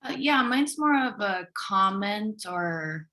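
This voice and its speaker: A woman's voice: medium pitch, expressive, takes it slowly, pushed and bright. An American woman making a comment as a forum participant over a video call. She speaks casually, hedging with frequent fillers. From United States. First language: English.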